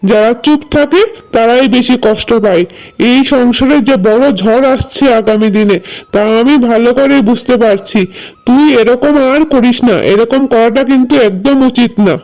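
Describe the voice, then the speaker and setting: A very high, youthful cartoon voice acted by a woman for a principled younger sibling. The manner is earnest and resolute, standing firm for what is fair. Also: normal speed, darkish tone, close to an everyday voice, rough recording. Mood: worried, resolute. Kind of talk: cartoon voice acting.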